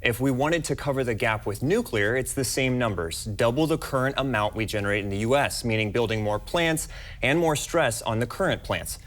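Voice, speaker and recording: A man's voice: medium pitch, a little fast. An American man giving a scripted, explanatory news report. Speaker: news fact-check reporter.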